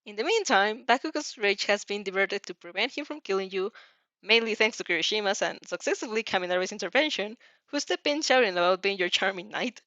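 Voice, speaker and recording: A woman reading a story aloud as narrator, dramatic and a little halting, stumbling over some words. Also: medium pitch, lively intonation, bright, forceful tone, no echo, highs cut off. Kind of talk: expressive fan fiction read-aloud.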